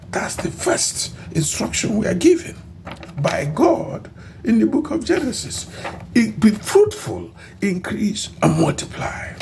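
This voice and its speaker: A middle-aged Nigerian man, a bishop, preaching and praying fervently in a recorded audio message with emphatic, drawn-out phrases. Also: high voice, vocal fry, warm tone, a little hiss or hum.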